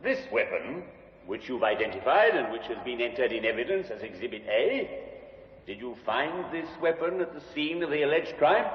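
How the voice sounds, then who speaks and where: A sharp defense barrister, played by a man fires off crisp, probing questions in a lively, rising and falling voice. The old broadcast sounds muffled, with a long, roomy echo. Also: mid-range voice, moderate pace, natural voice. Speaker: defense counsel. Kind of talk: 1950s radio drama acting. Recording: dull echoey old radio recording.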